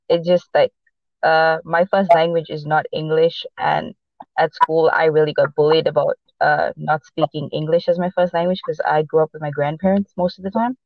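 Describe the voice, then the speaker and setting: A woman's voice: deep voice, fairly even intonation, dry recording. Woman with a mixed Portuguese-South African accent, lo-fi. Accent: mixed Portuguese, South African and American. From mixed upbringing: Boston, Los Angeles and South Africa. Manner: halting, many fillers and restarts. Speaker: podcast co-host. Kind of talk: casual sports podcast chat.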